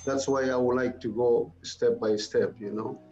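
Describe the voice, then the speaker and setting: A middle-aged or older man talking cautiously and haltingly on a business call as a prospective client. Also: medium pitch, steady tone, a little mellow. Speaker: small accounting business owner, prospective client. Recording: echoey, dull lo-fi call audio with music. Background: music.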